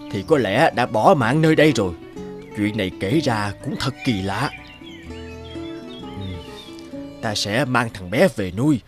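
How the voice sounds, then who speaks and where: A man's voice: medium pitch, lightly acted. A man voicing a kindly, poor older woodcutter in a cartoon, musing with compassion.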